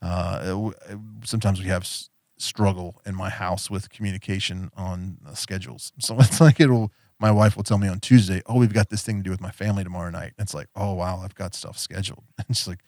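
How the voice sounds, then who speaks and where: A man's voice: sits low, steady tone, fairly quick, few pauses, a little mellow, no room sound, clean studio sound. A man hosting a podcast in casual chat, rambling with lots of fillers and easy laughs. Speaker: podcast host.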